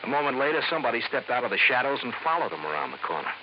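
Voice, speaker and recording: An actor playing a slippery, defensive blackmailer in an old radio drama, insistent and brusque. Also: male voice, medium pitch, big pitch swings, racing, highs cut off, close to an everyday voice. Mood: insistent, scheming. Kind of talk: mid-century American radio drama acting. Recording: noisy, band-limited old radio recording.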